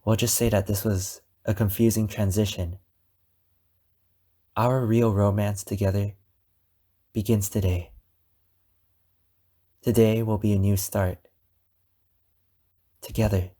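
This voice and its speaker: A man acts out an obsessive male captor in an intimate ASMR drama. His voice sits a little low and moves very slowly, with weighty, deliberate pauses. He sounds wounded and possessive, lovesick with a menacing edge. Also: flat delivery, mellow. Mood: calm, coaxing. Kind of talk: ASMR roleplay monologue addressed to the listener.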